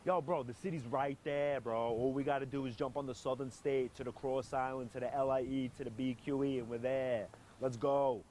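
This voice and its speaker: A man's voice: medium pitch, steady tone, brisk, hardly any pauses, lightly acted. A man playing a brash young Long Island bro in a comedy sketch, slangy and boastful, with faint noise behind. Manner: loud slangy bro talk.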